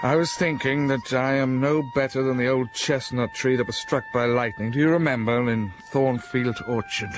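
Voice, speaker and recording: An actor playing a blind, scarred older gentleman in a radio drama, speaking with intense, impassioned feeling. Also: medium pitch, normal speed, hardly any pauses, noisy background, natural voice. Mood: tender.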